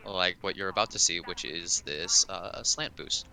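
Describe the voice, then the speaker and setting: A man's voice: low voice, a little slow, gravelly, bright, forceful tone. A man doing casual live commentary on a speedrun and coaching the player along.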